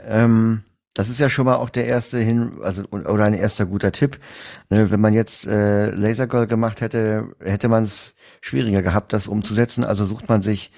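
A man interviewing guests in a conversational, somewhat halting way. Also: on the low side, vocal fry, darkish tone.

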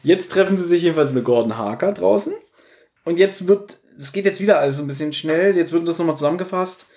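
A man's voice: a little high, fast talker, darkish tone. A man hosting a podcast, casually retelling and discussing a story, slipping into quoted dialogue. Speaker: podcast host.

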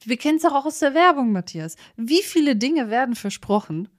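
A woman's voice: high-pitched, very animated, a little fast, dry recording. Woman, chatty co-host, dry close sound. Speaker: podcast co-host. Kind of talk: casual chat.

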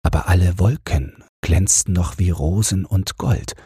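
Deep voiced guy reading a bedtime fairy tale, really husky, slow n soothing, dry close sound. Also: warm tone, dry recording. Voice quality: husky, very low. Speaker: audiobook narrator.

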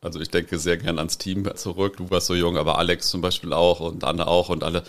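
A man with a very deep voice hosts a relaxed podcast chat, sounding a little congested from a cold. He is chatty and laughs often between questions. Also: brisk. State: has a cold. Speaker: podcast host. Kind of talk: casual podcast interview.

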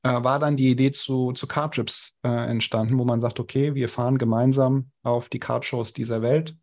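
A man's voice: medium pitch, steady tone, moderate pace, darkish tone. A young adult man, a podcast guest, speaking casually with many hesitations and restarts. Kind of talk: casual interview talk.

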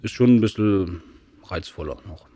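A man's voice: sits low, normal speed, dark tone. A man from Saxony in his forties, guest on a casual podcast, telling stories in an easygoing way. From Saxony, Germany. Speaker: company owner, guest.

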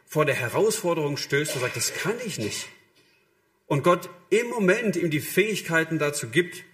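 Man, preacher, slightly high voice, conversational sermon, direct address, illustrative quoted asides. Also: expressive, moderate pace, fairly bright tone. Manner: direct address to listeners, acting out short imagined quotes.

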